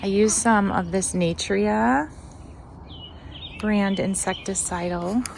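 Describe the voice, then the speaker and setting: A woman with a noticeably creaky, medium-pitched voice hosts a casual garden tour. She chats in a musing, unscripted way, with some ambient noise behind her on the recording. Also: slow. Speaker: gardening vlog host.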